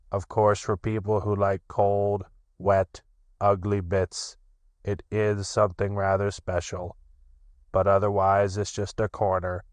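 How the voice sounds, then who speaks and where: A man voicing a gloomy, sulky old male donkey with a slow, heavy, mournful drawl. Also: sits low, dry recording.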